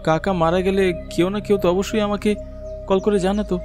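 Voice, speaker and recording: A man's voice: high voice, fairly even intonation, fast talker, natural voice. Cartoon lead: male actor as earnest young schoolmaster-narrator, mid voice, music bed.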